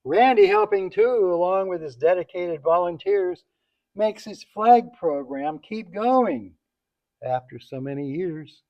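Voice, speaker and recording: A man's voice: high-pitched, lively intonation, measured pace, muffled recording. A man reading a rhyming tribute poem aloud to a group at a banquet, stumbling now and then over his words. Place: banquet event, speaking to a group. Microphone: event microphone.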